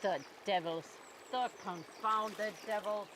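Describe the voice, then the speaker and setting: A woman's voice: mid-range voice, steady tone, takes it slowly, background noise, lightly acted. A woman playing a stubborn, self-righteous female swan in an animal audio drama, with an imperious, disdainful and formal way of speaking. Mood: dismissive.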